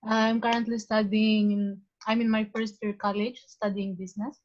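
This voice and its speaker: A woman's voice: medium pitch, flat delivery, moderate pace, slight background noise. A nineteen-year-old woman introducing herself to a podcast as a student, somewhat hesitantly. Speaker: guest, business student. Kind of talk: casual self-introduction. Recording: dull lo-fi sound.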